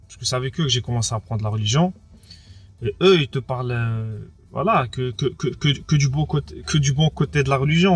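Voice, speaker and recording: A man's voice: mid-range voice, fairly bright tone. A young French man of Moroccan background, giving a casual personal testimony. He hesitates a lot, repeats words and leans on fillers. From France, of Moroccan background. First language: Arabic speaker as well. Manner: hesitant, repeats words, many fillers like "entre guillemets" and "quoi". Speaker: interviewee.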